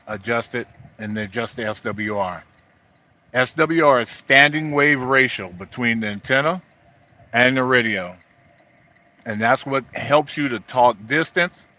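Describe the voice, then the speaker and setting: A man's voice: medium pitch, pushed and bright. A middle-aged or older man giving a casual, explanatory vlog talk in a plainspoken, folksy, rambling way, as a trucker hosting his own video. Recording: dull lo-fi sound inside vehicle.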